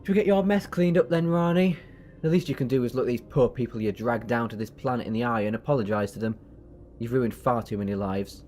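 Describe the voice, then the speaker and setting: A man's voice: medium pitch, a little fast, a little mellow, faint music behind, natural voice. Man acting a self-assured rebel time-traveller. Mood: stern. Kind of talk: audio drama acting.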